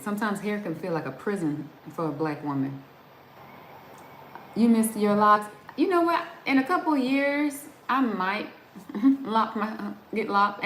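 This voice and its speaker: A woman's voice: mid-range voice, slight background noise. Chatty woman on a roomy livestream. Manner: chatty, laughs often, trails off and restarts. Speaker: livestream host. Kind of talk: casual live chat reading viewer comments. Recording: roomy echo with faint background ambience.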